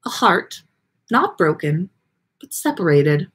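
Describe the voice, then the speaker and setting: A woman reading a story aloud in a theatrical, expressive way with drawn-out words and pauses, as the host of a livestream. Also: on the low side, breathy edge. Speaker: podcast host reading listener fan fiction on a livestream.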